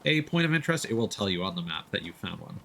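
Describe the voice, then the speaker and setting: Low-voiced man streaming a game, lively pitch. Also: fast, gravelly, slight background noise. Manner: casual, instructive. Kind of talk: live let's-play commentary, explaining as they play. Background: video game ambience.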